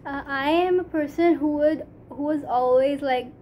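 A teenage girl from Pakistan with a Pakistani English accent, Urdu her first language, talking earnestly to a phone camera in a small room, halting, with many fillers. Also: high voice, dark tone, a little hiss or hum. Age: teens. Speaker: vlogger. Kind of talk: casual talk to camera. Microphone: phone camera at arm's length.

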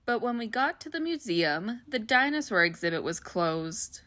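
A woman with a bright, clear voice reads a children's picture book aloud, gentle and expressive for young listeners. The sound is close and dry, with no room around it. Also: a little high, normal speed, dry recording. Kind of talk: storybook read-aloud.

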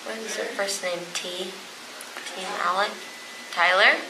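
A woman's voice: medium pitch, steady tone, slow, background noise. A young woman talking spontaneously with police as a suspect, picked up by a body camera. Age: young adult. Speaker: arrested suspect. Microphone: body-worn camera, a few feet away.

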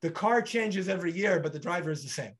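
A man's voice: high-pitched, fast talker. A man in his thirties hosting a podcast over a video call, talking animatedly and acting out little back-and-forth dialogues. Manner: animated, gestures broadly, acts out both sides of dialogues. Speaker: podcast host. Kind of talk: conversational interview. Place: bright home room.

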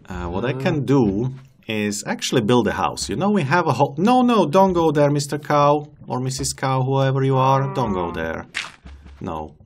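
Slow, low-voiced man with game commentary. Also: background noise. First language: not English. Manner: chatty, talks to the game's animals and laughs at himself. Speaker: let's play host. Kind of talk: casual gameplay commentary.

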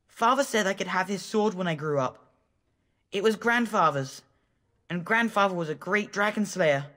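A woman's voice: medium pitch, fairly bright tone. A woman voicing a frightened but brave young village boy in a video game, hesitant, polite and earnest. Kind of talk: video game character dialogue.

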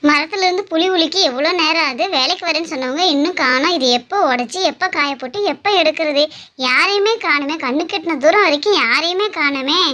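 A kindly village neighbour played by a woman, extremely high and bright in voice. She talks in a chatty, exclaiming way, as in a homemade family drama. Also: fairly quick. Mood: fretting. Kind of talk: amateur Tamil family story drama.

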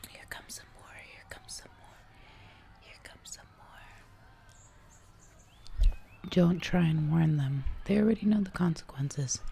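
Creaky, whispery jungle giantess acted by a woman. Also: deep voice, full character voice. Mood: smug, hungry. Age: young adult. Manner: slow, breathy whispering mixed with soft murmurs. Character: hungry, casually menacing giantess of the jungle. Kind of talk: ASMR roleplay, close whispering and soft talk. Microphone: close microphone in front of mouth. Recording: close mic, noticeable noise and clicks.

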